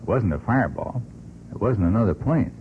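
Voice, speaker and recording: A man's voice: lowish voice, lively intonation, brisk. A man answering as an interview guest, explaining his reasoning in an unscripted, methodical and emphatic way. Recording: tinny, noisy vintage radio recording.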